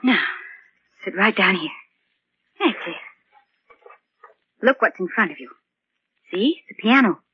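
A woman's voice: medium pitch, lively intonation, slow, pauses often, husky, no room sound, full character voice. An actress playing an anxious caretaker in a 1940s radio drama, pleading and insistent with the man she tends. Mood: coaxing, patient. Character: anxious, coaxing woman tending a disturbed man. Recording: dull vintage 1940s radio recording.

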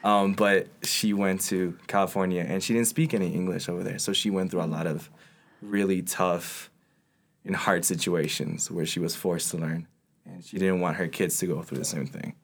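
A man's voice: low voice, steady tone, normal speed, creaky. A man in his twenties from Ecuador, upbeat and playful, chatting as a guest on a podcast. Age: 20s. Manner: upbeat, playful, joking along. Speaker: intern. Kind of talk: casual podcast chat.

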